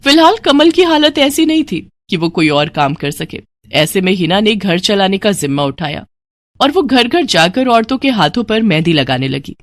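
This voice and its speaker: A woman's voice: medium pitch, very animated, moderate pace, dry recording. A woman narrating a scripted moral story as a storyteller.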